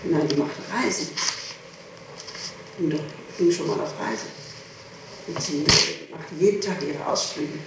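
Middle aged woman being questioned on old 60s tape, super echoey, far from mic, halting answers. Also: low-pitched. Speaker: suspect under interrogation. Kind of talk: answering questions in a police interrogation. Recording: echoey, distant, noisy archival 1960s tape.

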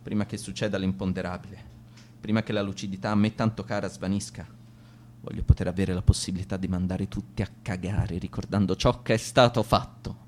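An actor playing a bitter, resigned man losing his lucidity, delivering a halting, formally worded farewell monologue in a radio drama. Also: deep voice, fairly even intonation, slight background noise, lightly acted. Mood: bitter, resolved.

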